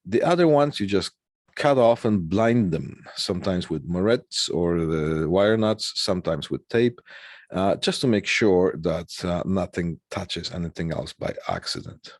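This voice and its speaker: Low-voiced man giving a halting technical lesson. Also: animated, dry recording, clean studio sound. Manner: halting, hesitant explaining with check-in tags. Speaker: technical instructor. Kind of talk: informal slide lecture explaining technical equipment.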